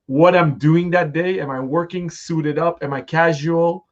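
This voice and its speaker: Male host, middle-aged, fairly high voice, halting and conversational, slightly dull audio. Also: steady tone. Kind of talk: conversational live interview.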